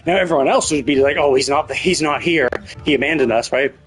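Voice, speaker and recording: A man's voice: on the high side, fast talker. A man giving casual, speculative live game commentary as a streamer, often checking himself with "right?". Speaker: game livestreamer. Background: game music.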